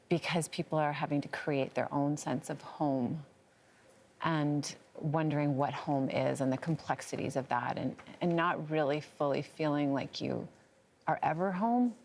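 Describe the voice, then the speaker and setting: A woman's voice: deep voice, a little mellow, slight background noise. A woman on a debate panel, speaking earnestly and searching for words as she argues. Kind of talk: debate argument.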